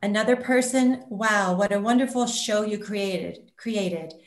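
A woman's voice: medium pitch, unhurried. A woman speaking as a theater representative on a panel, presenting and reading out comments. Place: indoors in a room, on a video call. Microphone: computer mic on video call. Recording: roomy video call audio.

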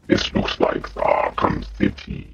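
A man voicing a sinister demon who commentates on a game in a slow, theatrical, guttural growl. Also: close to an everyday voice. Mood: brooding. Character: sinister male demon playing games, mock-menacing and slow. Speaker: gamer. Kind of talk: live gameplay commentary. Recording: muffled lo-fi audio, faint noise.

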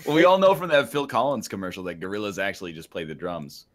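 A man's voice: on the low side, sing-song, quick pace, a bit bright. A man chatting casually and jokingly with friends while playing a video game, tossing in dry riffs and mock-formal asides.

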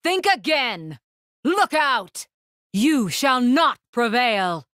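A woman voicing a proud, composed, regal warrior spirit in a video game, with formal, commanding, measured diction. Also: a little high, sing-song, fairly bright tone, no echo, clean studio sound, exaggerated voice. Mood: resolute, commanding. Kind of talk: video game battle lines.

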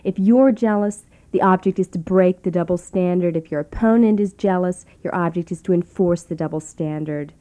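A woman's voice: on the low side, moderate pace, hardly any pauses, dark tone, natural voice. An actress playing a breezy, callous woman leading a self-help seminar in a satirical radio comedy, glib and slangy. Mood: brisk, patronizing.

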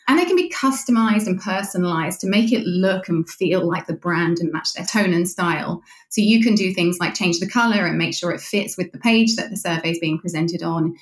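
A woman's voice: lowish voice, reverberant. A woman being interviewed, explaining a product in a conversational way.

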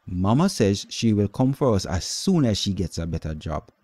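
A man voicing a gentle, worried girl who speaks softly and carefully in a storybook reading. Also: on the low side, sing-song, moderate pace, no room sound. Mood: earnest. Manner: soft, earnest and careful.

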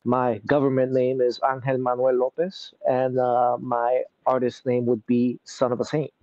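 A man in his thirties with a New York accent, chatting casually as an interview guest, relaxed and rambling with many fillers. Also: mid-range voice, moderate pace, highs cut off, soft background music. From Brooklyn, New York. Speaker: guest artist. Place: outdoors in a city courtyard.

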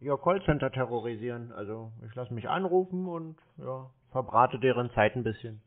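A man's voice: mid-range voice, animated, normal speed. A man chatting casually on a phone call in a dry, provocative, unruffled manner, as someone who baits call-centre scammers. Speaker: prank call creator baiting call-centre scammers. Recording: narrow phone-band radio-like sound.

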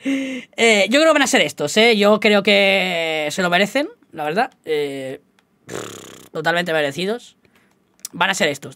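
A man's voice: high-pitched, fairly bright tone, no echo. Young gamer guy, bit of a galician accent, chatty and jokey at himself, dry sound. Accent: Galician-tinged Spanish. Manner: chatty, rambling, self-mocking, keeps addressing the viewers. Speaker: gaming YouTuber. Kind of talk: casual gaming commentary to viewers.

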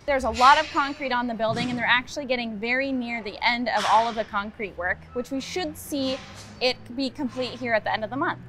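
Architect, woman, 30s, high voice, steady explainer, outdoor site, faint background noise. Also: a little fast. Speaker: project architect. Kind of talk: on-site interview.

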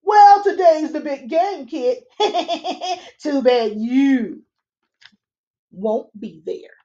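A woman's voice: sits high, expressive, extremely slow, dark tone, full character voice. A woman doing mocking older brothers. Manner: teasing, taunting. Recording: dull lo-fi webcam audio.

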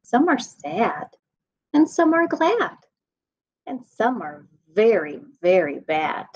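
Woman, 30s, children's storytime reader. Lively swooping intonation, unhurried rhyming delivery, slightly muffled webcam sound. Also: fairly high pitch, breathy edge. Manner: expressive, deliberate sing-song rhyme reading. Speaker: school staff nutritional specialist reading a children's storybook. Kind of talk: read-aloud storytime for children. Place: indoor room at home.

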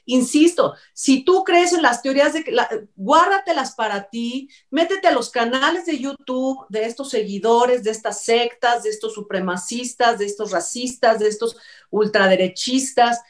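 High-voiced, insistent Mexican woman. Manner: emphatic, insistent, argumentative. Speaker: co-host commentator. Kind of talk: opinionated discussion. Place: indoors, on a video call. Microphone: video call.

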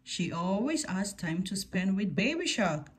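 A woman's voice: mid-range voice, very animated, unhurried, breathy edge. A woman reading a picture book aloud to children as a scripted story-time narration.

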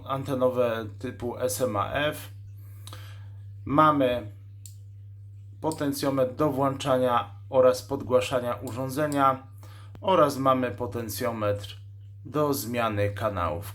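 Male host, mid pitch, plain technical demo delivery with small pauses. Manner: matter-of-fact, technical, listing specs; pauses mid-sentence while handling the device. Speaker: radio shop host reviewing a walkie-talkie. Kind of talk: unscripted product demonstration.

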